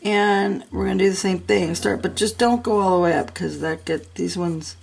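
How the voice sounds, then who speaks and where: A woman's voice: mid-range voice, normal speed, vocal fry, darkish tone, breathy edge. A middle-aged woman, a little sick and sniffling, chatting casually and encouragingly as she talks through a coloring tutorial. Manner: chatty and encouraging, talks to herself while working.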